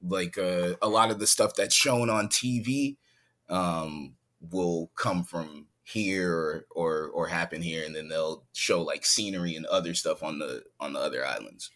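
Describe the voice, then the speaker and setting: A man's voice: sits low, unhurried, a bit bright. A man from San Diego, living in Hawaii, chatting casually as a guest on a podcast, laid-back and laughing easily. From San Diego, California. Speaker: rapper guest. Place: home in Hawaii, remote call.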